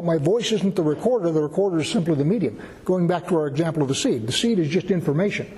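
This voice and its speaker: A man's voice: fairly high pitch, a little mellow. Man teaching scripture in a reverberant hall. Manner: conversational, explains with everyday examples. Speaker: Bible teacher. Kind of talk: verse-by-verse Bible teaching.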